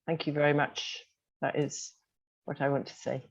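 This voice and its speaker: A woman's voice: sits low, little pitch movement, normal speed, vocal fry, husky, no echo, dull audio. A woman closing an advocacy presentation as a guest speaker.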